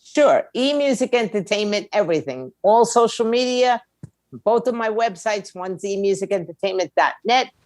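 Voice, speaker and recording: A woman's voice: medium pitch, lively intonation, normal speed, a little mellow, dry recording, studio quality. A woman speaking as a guest expert in an interview, explaining things in a plain-spoken, emphatic, conversational way. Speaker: guest, music attorney and indie label owner.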